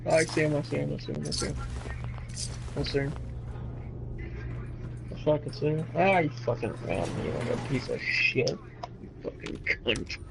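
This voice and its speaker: A man's voice: on the high side, expressive, moderate pace, vocal fry, pauses often, over music. A man in casual gaming chat, swearing freely and egging his friend on. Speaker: game streamer. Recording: noisy home gaming microphone.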